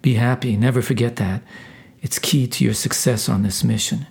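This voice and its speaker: A caring male angel judge , voiced by a man, speaks softly and reassuringly. His husky voice stays mid-pitched and calm. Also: normal speed. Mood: earnest. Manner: gentle, soft, reassuring.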